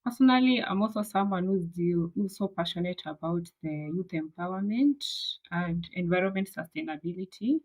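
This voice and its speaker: Earnest woman, lowish voice. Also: moderate pace, a little mellow, rough recording. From Kenya. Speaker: master's student and mentor. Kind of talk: interview answers.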